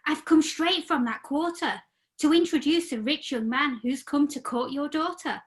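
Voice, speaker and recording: A woman's voice: high voice, normal speed, lightly acted. A woman playing a formal court messenger in a rhyming pantomime. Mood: announcing. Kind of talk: rhyming amateur pantomime acting.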